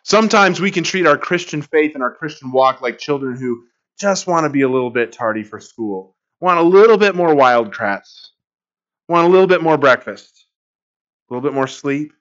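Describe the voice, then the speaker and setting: A man's voice: on the high side, normal speed, a bit bright, lo-fi sound. A middle-aged man preaching a sermon as a pastor, telling stories in a conversational way. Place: church sanctuary.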